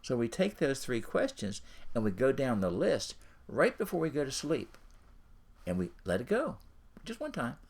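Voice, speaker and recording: A man's voice: mid-range voice, huge pitch swings, moderate pace, gravelly, slightly rough. A man giving an informal instructional talk as a coach, speaking directly to the listener and repeating his phrases. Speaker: ministry and business coach.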